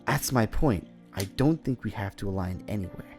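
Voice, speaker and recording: A man playing a confident young demon mage in an improvised Minecraft fantasy roleplay, speaking in a halting, conversational way and often checking for agreement. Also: on the low side, animated, darkish tone. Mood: determined. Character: confident young male demon mage, casual, secretive, determined. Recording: voice over background music.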